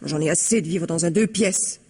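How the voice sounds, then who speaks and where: An actress playing a scheming, dissatisfied wife in a vintage French radio mystery, with a sarcastic, insistent, reproachful manner. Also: female voice, on the low side, normal speed, warm tone, husky. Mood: bitter. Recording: slightly muffled vintage radio drama recording.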